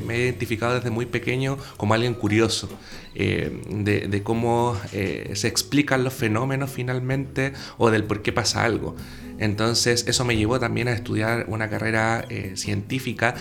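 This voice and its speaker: A man's voice: fairly low pitch, creaky, a bit bright. A man with a Chilean accent giving earnest, explanatory answers as an interview guest. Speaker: guest, secondary school science teacher.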